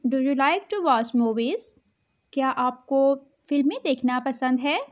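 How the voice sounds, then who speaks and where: A woman teaches English phrases, saying each one at a very slow, careful pace. Her voice sits high. She gives the lines quickly between the slow English repeats. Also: expressive. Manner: English spoken very slowly, Urdu lines quick. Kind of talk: slow English practice drill with Urdu translations.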